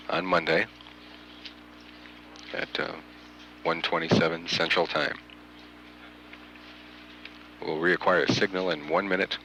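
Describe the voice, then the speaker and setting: A man giving measured, halting live spaceflight commentary as a mission control announcer, pausing often, on a noisy archival recording. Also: deep voice, vocal fry, sharp tone. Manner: halting, frequent long hesitations.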